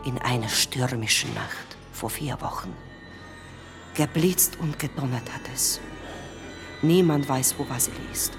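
A woman playing a morbid circus crocodile tamer in a radio play, telling things dramatically with dark deadpan asides. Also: a bass voice, measured pace, music in the background. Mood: dramatic, mysterious. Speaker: actress.

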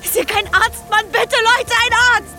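A woman's voice: very high voice, steady tone, normal speed, sharp tone, faint music behind, exaggerated voice. An actress playing a tough, hot-tempered woman police detective with intense delivery in a radio crime drama. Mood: desperate pleading.

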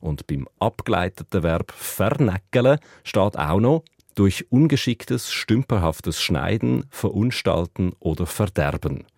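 A man with a Zurich Swiss German accent, a dialect expert explaining a word's meaning in a measured radio segment. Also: low voice, animated, vocal fry, darkish tone, no room sound, studio quality. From Zurich, Switzerland.

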